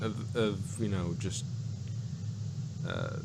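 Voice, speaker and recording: A man co-hosting a casual review, talking in a rambling, halting way and acting out imagined reactions. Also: low voice, huge pitch swings, gravelly, heavy background noise. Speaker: podcast co-host reviewing audio dramas. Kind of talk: casual unscripted review chat.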